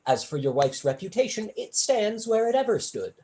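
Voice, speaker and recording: Student actor playing a witty young male tutor, very animated pitch, eloquent, arch, smoothly flattering, period stage comedy. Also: normal speed, slight background noise, close to an everyday voice. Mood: unruffled, mocking. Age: young adult. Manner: eloquent, arch, elaborately formal.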